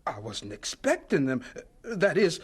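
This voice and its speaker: An actor in an audio drama playing an earnest, devout and gentle agriculture teacher who is deferential to his superiors. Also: a little high, wildly swooping pitch, slightly rough, full character voice. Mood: hesitant, deferential. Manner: measured, hesitant and stammering with superiors.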